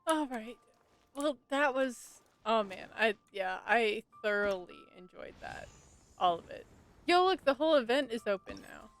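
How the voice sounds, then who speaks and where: A woman's voice: a little high, expressive, a little slow, gravelly. A woman chatting casually and enthusiastically as she streams a game. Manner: gushing, enthusiastic. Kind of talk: casual livestream gaming chat. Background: game music.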